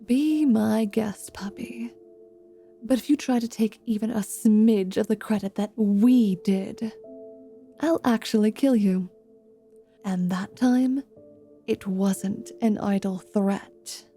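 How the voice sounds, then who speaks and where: A haughty, sharp-tongued half-vampire woman, played by a woman for a fantasy audio roleplay. Her voice is dark and smooth-edged with little creak, and she delivers sardonic threats at a very measured pace over faint music. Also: medium pitch. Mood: irritated, menacing. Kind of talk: fantasy ASMR roleplay acting.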